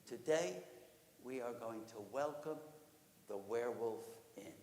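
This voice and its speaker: Storyteller as kindly rabbi, measured, slow, reassuring, echoey hall. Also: male voice, mid-range voice, animated, warm tone, voice pushed down, close to an everyday voice. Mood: calm assurance. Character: calm, kindly, wise village rabbi. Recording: echoey hall, background noise.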